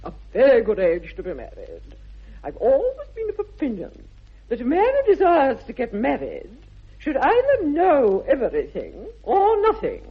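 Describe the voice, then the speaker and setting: Casting: snobbish, middle-aged English grande dame, upper-class accent, declamatory, husky voice, vintage radio comedy. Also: female voice, high voice, huge pitch swings, moderate pace, exaggerated voice. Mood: imperious, judging. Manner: haughty, pompous, declamatory. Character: imperious, snobbish aristocratic mother interrogating a suitor. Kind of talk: 1960s BBC radio comedy acting. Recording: dull old radio recording.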